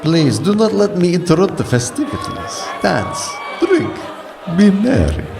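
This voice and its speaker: An actor playing an ancient aristocratic vampire lord, courtly and grandiose, in an audio drama. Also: male voice, high voice, huge pitch swings, measured pace, gravelly, over music, a crowd in the background, heavily acted. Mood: commanding, gracious.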